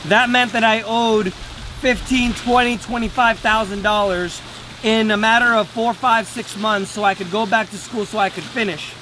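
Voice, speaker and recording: A man's voice: sits high, fairly even intonation, moderate pace, background noise. A man giving a casual, earnest motivational talk to camera, repeating words for emphasis. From Venezuela. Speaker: day trader and trading teacher.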